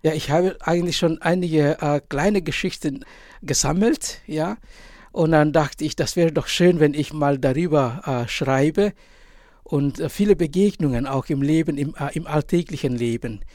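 A man in his forties from Indonesia, a priest and author, answering interview questions in German with an Indonesian accent, pausing often and searching for words. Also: on the high side, darkish tone, no room sound, studio quality. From Indonesia, island of Sumba. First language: Indonesian language. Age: 40s. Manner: halting, many pauses and fillers, searching for words.

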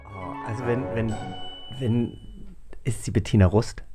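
A man's voice: on the low side, unhurried, loud music behind the voice. A man hosting an audio-drama show, chatting casually and playfully. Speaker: host of an audio-drama magazine.

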